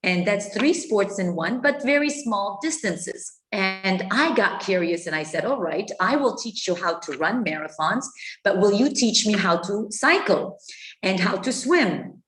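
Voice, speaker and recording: A woman's voice: medium pitch, animated, unhurried, fairly bright tone, rough recording. A woman from Egypt in her fifties, earnestly telling her own life story with many fillers and restarts. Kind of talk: personal story talk.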